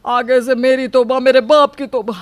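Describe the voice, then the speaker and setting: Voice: high-pitched, flat delivery, moderate pace, smooth, no room sound, close to an everyday voice. A performer voicing a whining, defensive grown son who pleads and justifies himself in a cartoon family story. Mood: pleading. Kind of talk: cartoon moral story acting.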